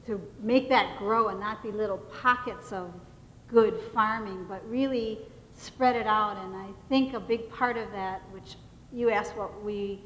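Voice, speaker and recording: A woman speaking earnestly as a farmer on a panel. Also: medium pitch. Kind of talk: panel discussion answer. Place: large hall, panel event. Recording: echoey hall with faint noise.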